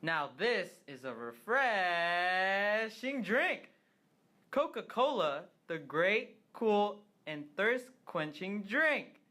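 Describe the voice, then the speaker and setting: A woman's voice: medium pitch, sing-song, very slow, bright, forceful tone, heavily acted. A woman playing an enthusiastic drink seller in an amateur scripted radio commercial. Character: enthusiastic woman praising a refreshing cold drink.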